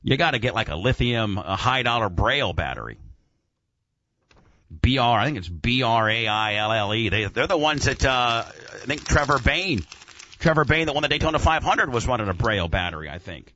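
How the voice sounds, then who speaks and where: A man's voice: medium pitch, animated, moderate pace, bright, forceful tone. A man hosting a casual radio show about auto racing, talking in a slangy, informal and opinionated way.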